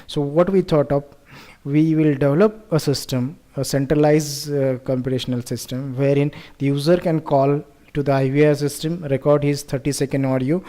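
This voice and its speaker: A man with an Indian accent presenting a research project in an explanatory way, with halting, deliberate phrasing. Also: a little high, steady tone, warm tone.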